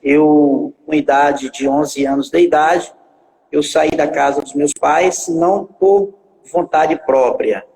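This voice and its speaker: A man in his forties telling his life story as a guest pastor in a live stream, speaking haltingly with many filler pauses and repeated words. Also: on the high side, steady tone, vocal fry, darkish tone, big-room sound, rough recording. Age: 40s.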